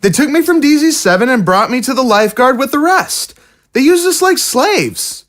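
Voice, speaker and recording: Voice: high voice, expressive, normal speed, a bit bright. A performer playing an earnest, matter-of-fact young zombie boy in an audio drama.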